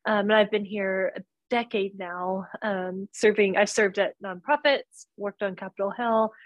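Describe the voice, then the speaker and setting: A woman in her thirties answers interview questions in an earnest, thoughtful way. She often pauses with fillers as she gathers her words. Her voice sits at an ordinary pitch and sounds close and dry, with no room echo. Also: steady tone, muffled recording. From born in Romania, raised in North Carolina. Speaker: guest author. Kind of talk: casual interview.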